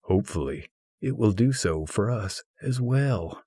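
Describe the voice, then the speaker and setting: A man reading a humorous philosophical essay as scripted audiobook narration, with husky, measured, deliberate phrasing. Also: on the low side, animated, mellow, dry recording, studio quality.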